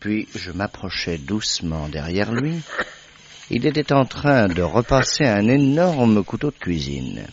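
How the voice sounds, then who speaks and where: An admiring, genial gentleman who narrates a radio drama. His voice is fairly low yet lively in its melody. The recording sounds a bit dull and lo-fi. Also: a little slow, a little hiss or hum. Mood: measured narration.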